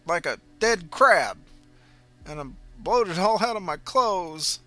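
A bewildered, frantic landlord ghost, as a man plays him raises his voice high and loud, pushing out anxious questions. The tone is bright and tense, with faint music beneath the storybook reading. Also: no echo, heavily acted. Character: greedy middle-aged male landlord ghost, bewildered and frantic.

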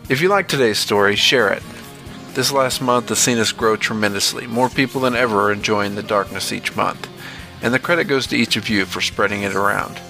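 Gravelly man narrating a horror podcast. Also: fairly low pitch, a little fast, pushed and bright, soft background music. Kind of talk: scripted narration.

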